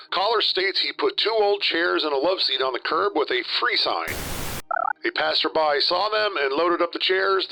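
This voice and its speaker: A man's voice: medium pitch, sharp tone. A man giving formal, matter-of-fact police dispatch reports over a radio. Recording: dull band-limited radio with music behind.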